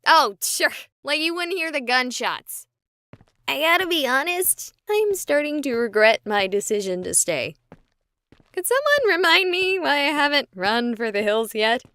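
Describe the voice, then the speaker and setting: A woman's voice: high-pitched, sing-song, measured pace, bright, forceful tone, dry recording, exaggerated voice. An actress playing a sarcastic, chatty young woman streamer who talks to her viewers. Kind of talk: voiced game dialogue.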